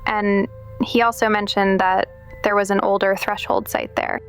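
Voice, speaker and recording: An actress playing a dry, deadpan investigator reporting findings in a noir audio drama. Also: female voice, mid-range voice, moderate pace, soft background music, close to an everyday voice. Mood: dry, grave.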